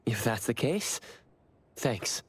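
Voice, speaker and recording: A man's voice: medium pitch, very animated, slow, slightly rough, heavily acted. A man playing a reserved, polite young man in an anime game, speaking in an understated, hesitant way. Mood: polite, earnest.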